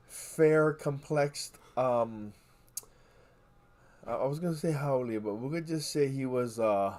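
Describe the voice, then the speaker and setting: Man from Hawaii, casual co-host, animated storyteller, swears a lot, mid-pitched voice. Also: slow, long pauses. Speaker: podcast co-host.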